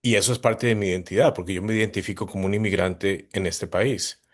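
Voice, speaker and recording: A man from Colombia, moderating a discussion on a video call in a calm, reflective way. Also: low voice, a little fast.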